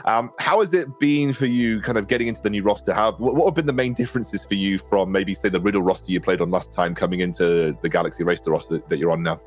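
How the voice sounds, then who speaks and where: Fast-talking man hosting on a headset. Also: low-pitched, faint music behind. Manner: fast, chatty, filler-heavy. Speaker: esports caster and host. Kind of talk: casual esports desk chat. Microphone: headset on a webcam call.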